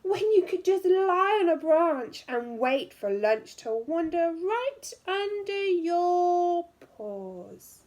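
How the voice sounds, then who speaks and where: A woman teacher reading a picture book aloud to young children in an animated way, drawing out words and dropping into hushed asides. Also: extremely high pitch. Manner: animated, drawn-out storytelling with hushed asides and questions to listeners. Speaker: primary school teacher.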